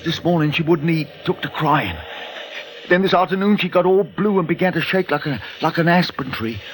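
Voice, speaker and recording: A man's voice: a little high, moderate pace, a little hiss or hum, lightly acted. An actor playing an anxious, pleading father of a sick baby in a melodramatic 1940s radio drama. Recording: old radio recording, long reverb.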